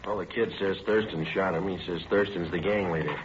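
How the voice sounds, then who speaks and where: Low-voiced deadpan actor as a radio police detective. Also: male voice, brisk, background noise, natural voice. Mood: businesslike. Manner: clipped, deadpan, matter-of-fact. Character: terse, businesslike male police detective narrating his cases. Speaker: narrator and lead actor. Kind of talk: 1950s radio crime drama narration and acting. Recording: dull vintage radio recording with music.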